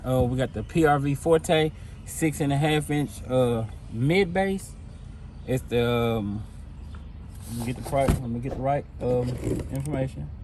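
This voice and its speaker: A man's voice: mid-range voice, measured pace. A man hosting a product video, talking casually and informally to his viewers in a laid-back way. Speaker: host of car audio product video. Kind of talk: casual product demo talk. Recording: clear background noise.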